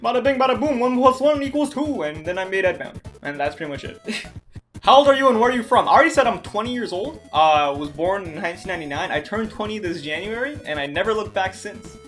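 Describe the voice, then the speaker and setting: High-voiced young Canadian man, chatty. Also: soft background music. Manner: energetic, jokey, quick asides. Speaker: stop-motion animator and channel host. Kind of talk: casual Q&A vlog. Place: bedroom, on a bed. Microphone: handheld recorder.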